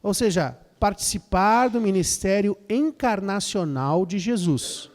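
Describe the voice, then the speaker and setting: A man's voice: sits high, animated, measured pace, a little mellow. A man interpreting a lecture phrase by phrase, often starting with fillers and repeated words. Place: lecture hall. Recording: long hall reverb.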